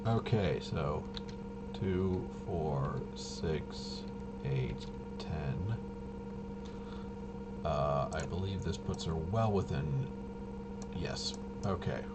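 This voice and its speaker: A man's voice: a bass voice, lively intonation, extremely slow, pauses often, heavy background noise. A man giving casual play-by-play of a tabletop roleplaying game, muttering and thinking aloud as he goes. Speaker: solo tabletop game player and host.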